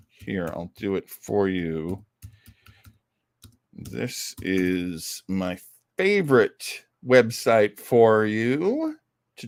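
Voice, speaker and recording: A man's voice: medium pitch, no room sound, clean studio sound. A man hosting an online show with casual, rambling talk. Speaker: host of an old-time radio show online.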